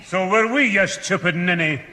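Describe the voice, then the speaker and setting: An actor playing a gruff, bossy, impatient father with a blunt manner in a British radio sitcom. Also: sits high, normal speed, pushed and bright. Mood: irritable. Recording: echoey hall, old radio recording.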